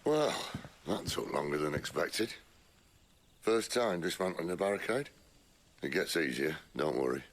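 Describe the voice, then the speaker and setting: An actor playing a shrewd, world-weary outlaw leader in a video game, with a wry, unhurried way of talking. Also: male voice, animated. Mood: dry, easygoing. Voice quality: deep gravelly creaking voice. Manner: wry, unhurried, colloquial turns of phrase. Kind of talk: video game cutscene acting.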